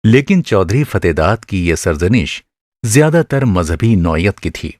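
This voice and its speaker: A man narrating a literary short story for a radio drama, with expressive, measured delivery and careful phrasing. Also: deep voice, no room sound, clean studio sound.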